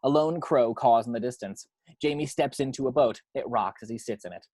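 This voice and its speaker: Male narrator for a script table read. Measured, descriptive delivery of stage directions, medium pitch, very dry recording. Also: dark tone, no room sound. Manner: measured, descriptive reading with occasional stumbles.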